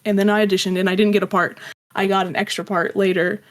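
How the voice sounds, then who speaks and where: A woman's voice: medium pitch, little pitch movement, fast. A woman telling personal anecdotes as an interview guest in a casual, rambling, self-deprecating way. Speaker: interview guest, audio drama creator.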